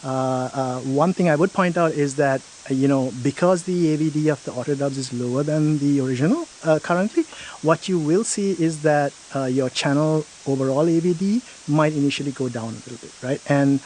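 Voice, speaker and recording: A man's voice: on the high side, fairly quick, darkish tone, slight background noise, low-quality recording. A man in an interview explaining a product feature step by step, often checking in with "right?".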